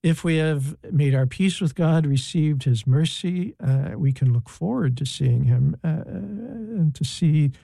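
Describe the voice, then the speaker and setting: An older man, a priest as a guest on a talk show, explaining church teaching in a halting, gentle way with many pauses. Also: a little high, expressive, gravelly, dry recording.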